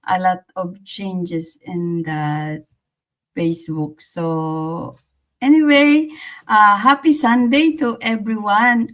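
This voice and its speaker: A woman from the Philippines with a Filipino accent hosts a casual, reflective livestream, talking haltingly and repeating her phrases. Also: mid-range voice. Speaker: livestream host.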